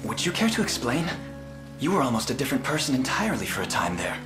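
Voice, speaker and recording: Guy doing noble young rebel prince, game dialogue, medium pitch, calm formal delivery, score playing. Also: fast talker, lightly acted. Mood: composed. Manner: formal, steady, self-assured. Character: earnest, resolute young prince leading rebels. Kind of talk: fantasy video game voice acting. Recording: music behind the voice.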